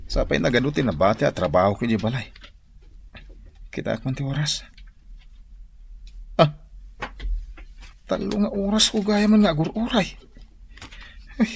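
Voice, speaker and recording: A man's voice: on the low side, slight background noise. A man with an Ilocano accent acting an easygoing, put-upon husband who mutters and sighs in a radio drama. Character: easygoing, put-upon married man, obliging brother-in-law, mildly grumbling.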